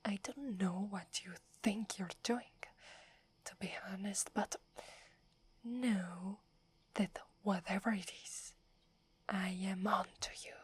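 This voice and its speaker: A woman's voice: mid-range voice, a bit bright, slightly rough, exaggerated voice. A woman with an Italian accent voicing a proud, wary female werewolf who guards a holy forest, speaking in an accusing whisper for an ASMR roleplay. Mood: stern. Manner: scornful, accusing.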